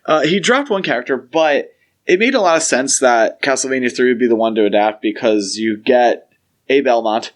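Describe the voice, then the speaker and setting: A man's voice: mid-range voice, moderate pace. A man co-hosting a casual podcast discussion, explaining things in an opinionated way. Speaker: podcast co-host.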